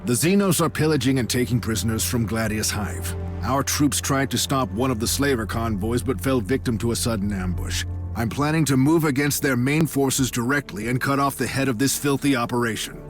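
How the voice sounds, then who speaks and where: A man's voice: medium pitch, brisk, natural voice. An actor playing a hearty, boastful male military commissar in a video game. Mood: confident. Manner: hearty, boastful officer bluster. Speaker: lord commissar, military officer. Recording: music clearly behind.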